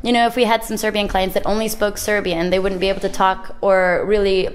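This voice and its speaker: A woman's voice: medium pitch, little pitch movement, a little fast. A woman who runs a yoga studio, talking in a casual interview in a friendly, upbeat, explanatory way. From English-speaking foreigner.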